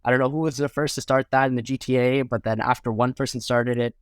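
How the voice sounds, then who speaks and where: Man in his twenties, Canadian accent, smooth clean voice, medium pitch, dry recording, casual interview guest. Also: fairly even intonation, fast talker, dry recording. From Toronto area, Canada. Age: 20s. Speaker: podcast guest, food blogger.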